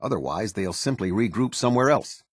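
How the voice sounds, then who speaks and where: A low-pitched, stern airship captain from a video game. He speaks with formal, clipped military authority in a husky voice that moves expressively up and down, recorded close and dry. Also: lively intonation, a little fast, dry recording. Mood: commanding. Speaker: military officer giving orders and briefings. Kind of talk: scripted video game voice acting.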